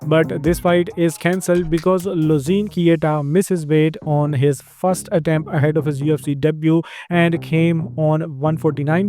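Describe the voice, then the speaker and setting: Man, Indian accent, soft dark tone, halting informal news host, faint music underneath. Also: a little high. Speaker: host of a fight news show. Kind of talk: informal news roundup to viewers.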